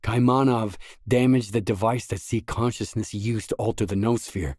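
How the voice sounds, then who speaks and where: An actor playing a determined, brooding stalker in a grim video game, with a measured, serious, understated delivery. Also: male voice, steady tone, darkish tone, dry recording, studio quality. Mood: grave. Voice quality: low, husky. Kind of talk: game voice acting, isolated dialogue lines.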